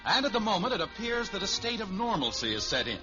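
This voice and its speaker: A man's voice: high voice, very animated, fast talker. An American man reading scripted narration as announcer of a 1950s radio show. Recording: muffled vintage radio with music behind.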